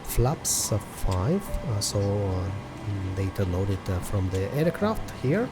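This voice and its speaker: A man's voice: on the low side, sing-song, takes it slowly, loud music behind the voice. A man hosting a flight simulator video, giving methodical step-by-step commentary in a hesitant delivery.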